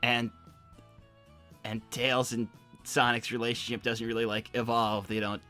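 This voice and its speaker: A man's voice: medium pitch, little pitch movement, measured pace, sharp tone, faint music behind. A man playing a naive, earnest teenage boy, stammering and hesitant, in an improvised in-character livestream. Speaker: co-host answering viewer messages.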